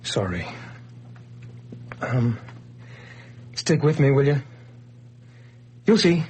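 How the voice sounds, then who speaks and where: A man's voice: medium pitch, big pitch swings, drawn-out words, darkish tone, slightly rough, full character voice. An actor playing Jim, a nervous, jumpy man recovering from a breakdown, in an old radio drama. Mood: pleading. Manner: jumpy, anxious, pleading.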